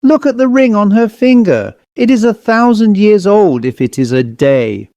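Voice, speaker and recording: A man reading a storybook, voicing an excitable, reckless English adventurer in an emphatic, eager manner. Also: high-pitched, moderate pace, no echo, pitched above the performer's own voice. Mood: excited.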